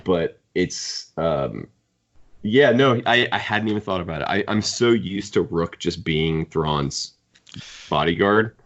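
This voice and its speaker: A man's voice: low voice, lively intonation, slow pace, muffled recording. A man co-hosting a podcast, talking through fan topics in a rambling, conversational way. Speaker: podcast co-host. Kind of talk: casual fan discussion.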